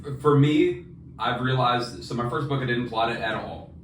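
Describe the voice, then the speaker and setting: A man co-hosts a writing podcast in loose, slangy conversation. His voice is mid-pitched and sits back from the mic in a roomy space, with faint noise underneath. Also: normal speed, recorded from a distance. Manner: informal, slangy. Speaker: podcast co-host and fiction writer. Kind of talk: casual chat about writing.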